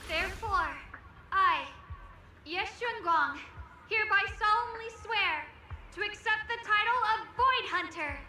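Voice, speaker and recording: A woman's voice: extremely high pitch, unhurried, sharp tone, full character voice. A woman voicing an earnest, resolute young swordswoman heroine in a video game. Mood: solemn, hopeful. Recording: roomy echo, distant, music behind.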